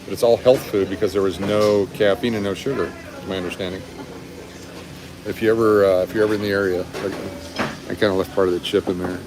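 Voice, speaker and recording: A man's voice: steady tone, brisk, rough recording. A man in his fifties chatting casually and rambling a little as he hosts a live stream, recorded on a phone indoors. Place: busy restaurant, indoors. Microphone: phone held at arm's length. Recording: restaurant chatter and rumble behind voice.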